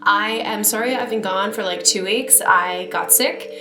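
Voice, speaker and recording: A woman's voice: mid-range voice, normal speed. Woman host, nasally congested from a cold, reads creepy stories over faint music in a roomy space. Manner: chatty and self-deprecating in the intro, steady when reading. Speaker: horror story reader. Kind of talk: reading scary stories aloud.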